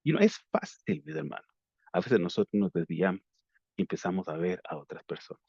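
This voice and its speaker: A man's voice: low-pitched, very animated, brisk, darkish tone, breathy edge. A man with an Ecuadorian Spanish accent gives an earnest devotional sermon, repeating phrases for emphasis. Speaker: lay preacher. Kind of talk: devotional sermon with prayer and scripture reading. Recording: roomy, dull lo-fi online call audio.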